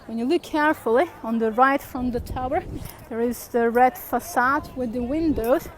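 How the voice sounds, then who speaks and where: A woman's voice: sits high, normal speed, poor audio. Woman guiding a walking tour. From Slovakia. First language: Slovak. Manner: friendly, hesitant with many fillers and restarts. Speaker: tour guide. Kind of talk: live virtual walking tour, speaking to camera. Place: outdoors on a city square with street noise. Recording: faint street noise outdoors.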